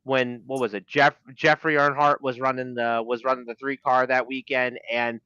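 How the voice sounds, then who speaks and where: Brief: crisp bright male co-host, stumbling with fillers, muffled lo-fi sound. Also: medium pitch, level tone, no room sound. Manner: halting, many fillers, laughs often. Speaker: podcast co-host. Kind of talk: casual sports chat. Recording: dull, slightly lo-fi sound.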